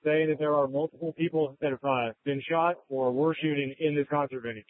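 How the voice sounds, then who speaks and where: High-voiced male officer over police radio. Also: steady tone, a little fast. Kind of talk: emergency radio traffic. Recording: phone-like police scanner radio.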